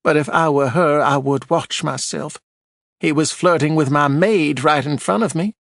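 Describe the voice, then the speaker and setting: Guy doing a high snippy gossipy widow lady, bossy and indignant, storybook style. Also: normal speed, creaky, fairly bright tone, no echo, studio quality. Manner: shrill, imperious, snappish.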